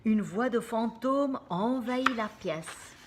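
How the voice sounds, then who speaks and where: A woman reading a children's storybook aloud like a teacher, expressive and dramatic, with explanatory asides to young listeners. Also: a little high, slow, background noise.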